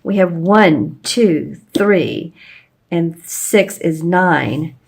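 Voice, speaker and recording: A woman giving a casual, rambling tarot reading to her viewers, with a slightly hoarse voice and plenty of fillers and restarts. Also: fairly low pitch, extremely slow, a little hiss or hum. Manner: meandering, with frequent fillers and restarts. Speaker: tarot reader.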